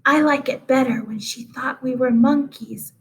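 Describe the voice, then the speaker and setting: A woman's voice: high-pitched, steady tone, normal speed, slight background noise. A woman voicing an earnest, puzzled young schoolboy in a storybook, plain and insistent.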